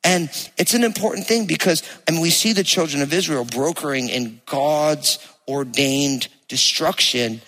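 A man with a New Jersey accent preaching a sermon to a congregation, speaking conversationally and with emphasis, slowing down to read scripture aloud. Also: a little high, fairly bright tone. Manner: conversational, emphatic, slows to read place names. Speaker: pastor. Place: church hall with live congregation. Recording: long reverb of a church hall.

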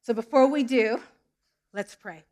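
A woman's voice: a little high, unhurried, a little mellow. A middle-aged woman from Southern California preaching a sermon and praying in a church, earnest and storytelling, with small restarts. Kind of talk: sermon with prayer. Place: church sanctuary.

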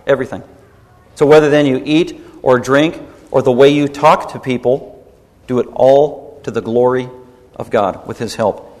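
A man's voice: mid-range voice, steady tone, moderate pace, darkish tone, in a large hall. A man preaching a sermon as pastor, emphatic and rebuking, driving points home by repeating them.

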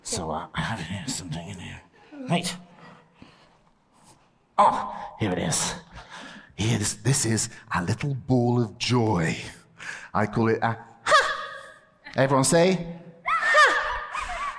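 A man's voice: on the high side, creaky, sharp tone. A man presenting a playful object lesson to children in church, laughing often and calling out to the room. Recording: echoey hall.

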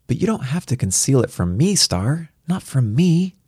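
A man's voice: medium pitch, big pitch swings, moderate pace, dark tone, slightly rough, no room sound, studio quality, voice pushed up. A man reading the part of an anxious, protective father in a story, speaking in an earnest, cautioning way. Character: anxious, protective father of a gifted little girl.

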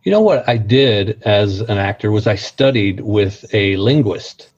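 A man's voice: lowish voice, lively intonation, a little slow, darkish tone, slightly rough. A man from Missouri with a slight Missouri accent, chatting in a friendly, easygoing way as an actor being interviewed. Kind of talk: casual interview chat. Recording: dull lo-fi sound.

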